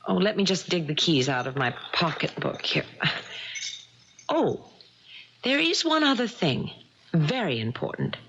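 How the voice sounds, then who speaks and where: Bold, scheming wife, animated low voice. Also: female voice, unhurried, pauses often, slight background noise, full character voice. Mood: instructive. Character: bold scheming wife, airily playing innocent. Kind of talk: 1970s radio drama acting. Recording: dull 1970s radio broadcast recording.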